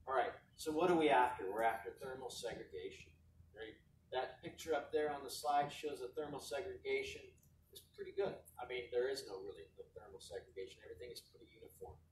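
A man's voice: medium pitch, a little fast. Man, conference panel talk, casual rambling delivery, distant mic, faint background hiss. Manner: casual, rambling, fills with "right?". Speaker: equipment manufacturer panelist. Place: conference hall, heard from far off. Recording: echoey distant hall livestream, faint noise.

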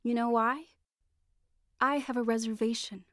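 A woman's voice: fairly high pitch, fairly even intonation, unhurried, no echo, studio quality, close to an everyday voice. An actress playing an earnest, devout wife in a radio drama, acting and narrating her heartfelt testimony. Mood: earnest, eager.

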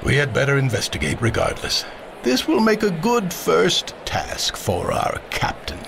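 An actor playing a stately veteran war advisor in a fantasy audio drama, speaking formally and with authority. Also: male voice, fairly high pitch, huge pitch swings, measured pace, vocal fry, noisy background, exaggerated voice. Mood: grave, decisive.

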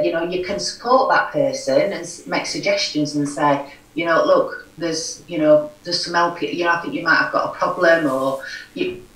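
Frank woman with broad Yorkshire accent. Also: low-pitched. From Yorkshire, England. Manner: frank, earnest, many "you know" fillers. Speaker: guest, recovery forum chair. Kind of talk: conversational interview. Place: home room. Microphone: video call. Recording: echoey room, far from mic.

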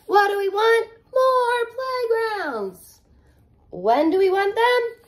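A woman in her thirties reading a children's picture book aloud like a teacher, expressive, with chatty asides straight to young listeners. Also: very high voice, slow. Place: living room at home, indoors.

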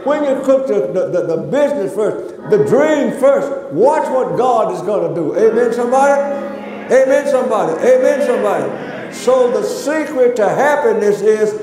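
A man's voice: very high voice, lively intonation, moderate pace, warm tone. An older man preaching a sermon as a reverend, with repetitive, emphatic phrasing and frequent amens. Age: older, about 70s. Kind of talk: sermon with repeated "amen" call-outs. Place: large church sanctuary, indoors. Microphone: gooseneck pulpit microphone. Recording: echoey church hall with long reverb.

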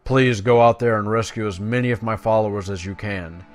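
A man doing gameplay commentary, switching between casual asides and reading quest text aloud. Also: fairly low pitch. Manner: casual asides between plain, measured read-outs. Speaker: gaming video creator.

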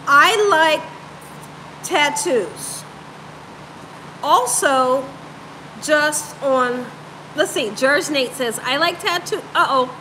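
A high, bright-voiced woman talks at an unhurried pace, playful and animated. She chats informally with her livestream viewers and likes to repeat a phrase for emphasis. She sounds like she is at home, speaking toward a phone at arm's length. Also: a little hiss or hum, rough recording. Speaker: cooking show host on a livestream. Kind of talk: casual live chat with viewers. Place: home room, indoors.

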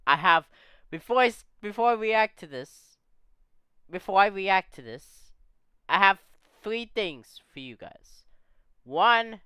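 A man chatting casually as a YouTube reactor, excitable and rambling with lots of restarts, his voice a bit off from being sick. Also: high-pitched, slow, pauses often, smooth, dry recording, muffled recording. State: voice a bit off from sickness.

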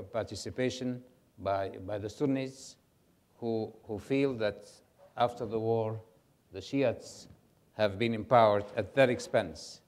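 An older man speaking as a panelist, halting and repeating words as he starts his points. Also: on the low side, a little mellow. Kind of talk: panel discussion.

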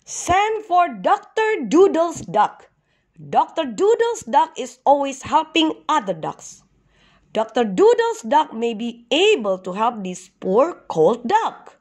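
A woman's voice: high-pitched, big pitch swings, slow pace, darkish tone, pitched above the performer's own voice, exaggerated voice. A woman voicing a devout, fatherly male duck leading his flock, speaking earnestly in a children's storybook. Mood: instructive. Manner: earnest, instructive. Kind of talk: storybook reading.

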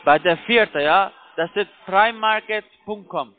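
A man's voice: sits high, unhurried, faint music behind. A man with a non-native, foreign accent in German, working as a call center IT agent, giving step-by-step instructions and repeatedly checking that he is followed. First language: not German. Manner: repetitive, keeps checking with tag questions. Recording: tinny narrowband phone line.

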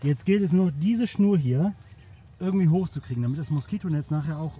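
A man with a fairly high voice chats colloquially for an outdoor camping vlog. He speaks in a joking, informal way to the camera and his companion. The phone recording carries faint outdoor noise. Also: fairly quick, warm tone. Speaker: camping vlogger. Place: outdoors at a riverside campsite. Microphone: handheld smartphone.

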